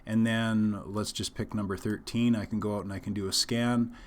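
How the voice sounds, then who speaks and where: Low-pitched man giving a halting technical walkthrough. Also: steady tone, slight background noise. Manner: halting, explanatory. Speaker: company technical trainer. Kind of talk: instructional software walkthrough, unscripted explanation.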